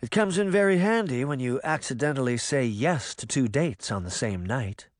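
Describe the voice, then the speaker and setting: A man's voice: medium pitch, expressive, normal speed, no room sound, studio quality, natural voice. A man voicing a glamorous young witch woman who talks lightly and casually. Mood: breezy.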